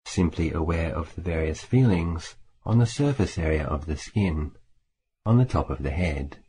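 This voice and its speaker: A man leading a guided meditation as a teacher, speaking in a calm, measured way with long pauses between phrases. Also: deep voice, slightly rough. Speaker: Buddhist monk, meditation teacher. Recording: faint background hiss.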